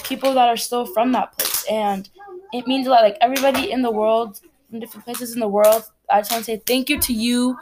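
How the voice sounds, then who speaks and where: A woman's voice: fairly high pitch, noisy background. A teenage girl from Cameroon hosting her own podcast, talking in a chatty, rambling, earnest way. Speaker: podcast host. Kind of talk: casual solo podcast chat.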